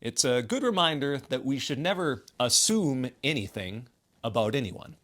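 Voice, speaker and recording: A man's voice: medium pitch, expressive, normal speed, a bit bright. A man giving casual commentary on a video game as a co-commentator.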